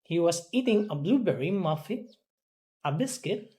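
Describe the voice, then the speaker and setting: An English student carefully reading words and sentences aloud, repeating them deliberately as in a pronunciation drill. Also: medium pitch, lively intonation, dark tone. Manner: deliberate, repeating after a teacher.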